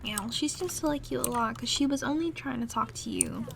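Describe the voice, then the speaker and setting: Woman acting as a sulky, creaky-voiced jealous gamer girlfriend. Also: fairly high pitch, lively intonation, brisk. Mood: annoyed. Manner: pouty, grumbling, irritated. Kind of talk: roleplay audio, half-improvised casual talk. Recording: noisy room, clattering computer keyboard. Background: loud keyboard typing and background noise.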